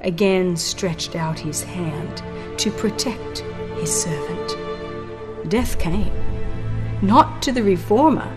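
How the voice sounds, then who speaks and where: A woman reading scripted dramatic audiobook narration in a formal, solemn and deliberate storytelling manner. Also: on the low side. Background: background music.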